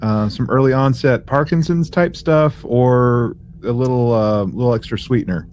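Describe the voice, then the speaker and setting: A man's voice: mid-range voice, moderate pace, darkish tone, a little hiss or hum. A man co-hosting a podcast in casual conversation, rambling and joking in slangy everyday language. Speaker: podcast co-host. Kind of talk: casual chat and anecdotes between friends.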